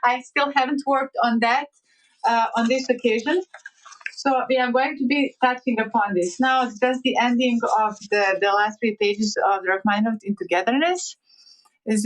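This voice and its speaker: A woman from Montenegro, chatting haltingly with viewers on a piano livestream, full of fillers and restarts. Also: fairly high pitch, fairly bright tone, poor audio. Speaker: livestreaming pianist. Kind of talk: casual livestream chat reading comments.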